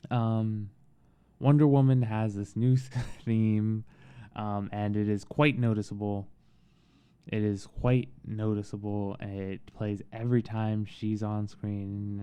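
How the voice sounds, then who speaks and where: A man giving a casual, halting film review in a soothing voice, with frequent pauses and restarts. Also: lowish voice, mellow, smooth. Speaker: movie reviewer.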